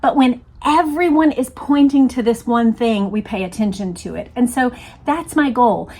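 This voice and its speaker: A woman host talking through material and reading aloud in a deliberate, emphatic, explanatory way. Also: a little high, darkish tone. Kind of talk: explanatory talk and dramatic read-aloud.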